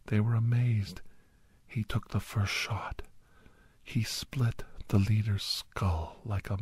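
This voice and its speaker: A man voicing a doctor who recounts a harrowing battle in halting, intense phrases, in a breathy near-whisper, in an ASMR story. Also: on the low side, steady tone, a little mellow, dry recording, exaggerated voice. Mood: urgent, dramatic. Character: doctor recounting a harrowing battle beside his young ward. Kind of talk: dramatic first-person ASMR storytelling.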